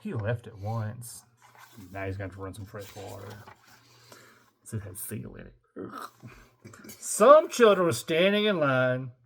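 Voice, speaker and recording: A man's voice: lowish voice, lively intonation, measured pace, vocal fry, pauses often, fairly bright tone, slight background noise. An older man reading a children's picture book aloud in a playful, theatrical way, stretching words and slipping in wry muttered asides. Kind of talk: animated children's read-aloud with joking asides.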